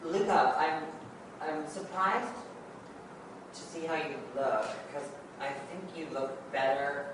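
Blunt critical judge, sounds far away in a super echoey noisy room. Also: male voice, on the high side, fairly even intonation, takes it slowly. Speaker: contest judge.

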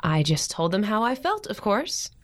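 A woman's voice: medium pitch, sing-song, normal speed, close to an everyday voice. An actress playing a firm, principled middle-aged mother in a modern audio drama, articulate, authoritative and dry. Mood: righteous, composed.

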